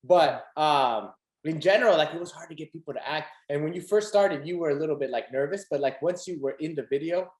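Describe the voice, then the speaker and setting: A man's voice: on the high side, brisk. A young man hosting a podcast, chatting casually and animatedly with lots of fillers and laughter. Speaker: podcast host. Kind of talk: casual chat. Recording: echoey room.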